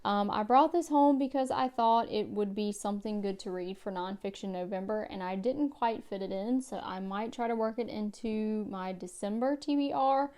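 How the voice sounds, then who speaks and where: Woman, home book vlog, clear smooth voice, measured, mid pitch. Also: mellow. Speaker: book vlogger. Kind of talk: casual book tag vlog. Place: home room by bookshelves.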